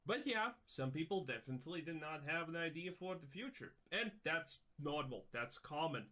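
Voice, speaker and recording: A man's voice from a played clip, lecturing emphatically. His tone is bright and sharp, and his pitch swings widely, sitting fairly high. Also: animated, moderate pace. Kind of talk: emphatic reaction commentary.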